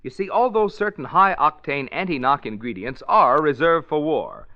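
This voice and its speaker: A man's voice: a little high, very animated, moderate pace. A man delivering a 1940s radio commercial as an announcer, with emphatic, folksy salesman's patter. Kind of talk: 1940s radio advertising read. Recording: muffled old radio recording.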